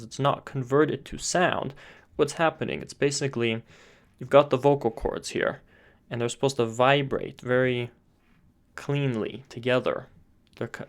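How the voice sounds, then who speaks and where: Calm man explaining chanting technique. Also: medium pitch. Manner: calm explanatory, frequent "sort of" and "uh" fillers. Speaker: chant teacher. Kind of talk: spoken voice lesson.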